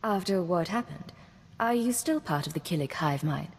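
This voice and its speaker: Low, cool female spy. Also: lively intonation, moderate pace, breathy edge. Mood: composed, probing. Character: cool, self-assured female intelligence agent. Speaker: game player character. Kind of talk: scripted game dialogue acting. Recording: reverberant hall, faint background noise.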